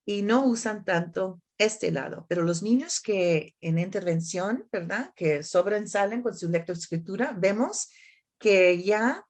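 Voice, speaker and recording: Slow-speaking woman. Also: mid-range voice. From United States. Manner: halting, searching for words in a second language; often seeks agreement with tag questions. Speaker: academic expert giving a talk. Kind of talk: explanatory answers in a conference discussion.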